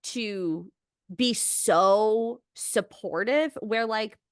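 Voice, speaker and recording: A young woman chats casually as a podcast co-host. She is candid and animated and swears freely, in a mid-pitched voice recorded close and dry on a podcast microphone. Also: drawn-out words, dry recording. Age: young adult. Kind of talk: casual chat. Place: small indoor room, remote video recording. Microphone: podcast microphone on boom arm, close up.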